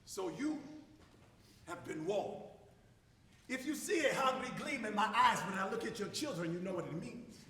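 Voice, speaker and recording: A fervent 50s south african teacher, really high voice, slow dramatic speechifying, big echoey hall. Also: male voice, expressive. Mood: grave, confiding. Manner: declamatory, dramatic pauses, swelling to shouts. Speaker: drama student actor. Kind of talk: theatrical stage monologue. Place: large hall stage at commencement ceremony. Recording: echoey auditorium, distant, some noise.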